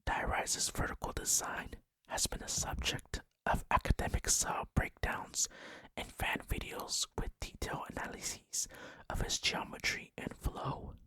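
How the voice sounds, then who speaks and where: A man whispering breathily and deliberately into a close microphone as he hosts an ASMR gaming commentary. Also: pushed and bright, low-quality recording. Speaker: gaming video host.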